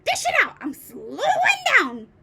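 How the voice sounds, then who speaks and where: A woman voicing a scrawny, gleeful grandmother with an old, screechy voice who shouts with delight. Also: very high-pitched, wildly swooping pitch, a little slow, very noisy, voice pushed up, heavily acted. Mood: gleeful shouting.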